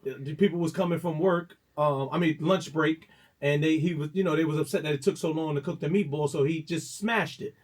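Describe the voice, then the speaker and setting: A man, a radio host and DJ, telling stories in a rambling, halting way with many restarts during a casual interview. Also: a little high, fairly even intonation.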